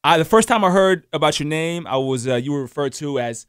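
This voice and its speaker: Male American radio interviewer, quick delivery, dry close sound, slangy and conversational. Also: on the high side, expressive, clean tone, no room sound. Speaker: radio host interviewing a guest.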